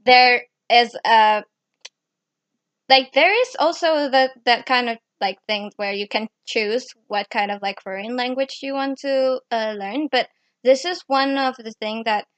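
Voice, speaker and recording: A woman's voice: a little high, a little slow, fairly bright tone, dry recording. A teenage girl hosting a chat, often stuttering and restarting, a native Indonesian speaker. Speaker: podcast host. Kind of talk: casual chat.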